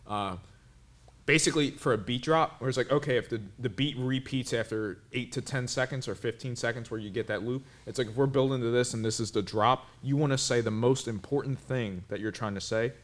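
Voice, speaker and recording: Guy on a reaction show going on and on, excited, lots of restarts, mid pitched. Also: a little fast. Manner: rambling, enthusiastic explaining with many restarts. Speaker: reaction video co-host. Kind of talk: casual reaction commentary.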